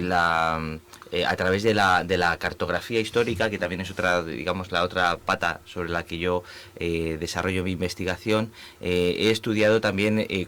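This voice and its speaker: A man's voice: low voice, fairly bright tone. A man from Spain with a Spanish accent, a professor speaking as a guest in a radio interview, with many fillers and restarts. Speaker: guest, urban planning professor. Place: radio studio.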